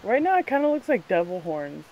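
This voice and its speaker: A woman whose first language is Spanish, chatting casually on a vlog, animated and quick to swear and laugh. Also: mid-range voice, highs cut off, crowd noise. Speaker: vlog co-host. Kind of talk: casual chat.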